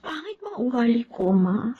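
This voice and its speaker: Lead in a radio drama: an earnest, reflective young woman with a high, lively voice. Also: normal speed, breathy edge, phone-quality sound. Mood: hurt. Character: young woman trying to change her selfish ways. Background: music score.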